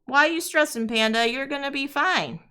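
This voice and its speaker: A woman chatting with her viewers while explaining a solo card game on a live stream, her voice slightly raspy before her morning tea. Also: sits high, normal speed, sharp tone. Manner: chatty, talks to chat viewers, sings playfully. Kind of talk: casual live-stream commentary.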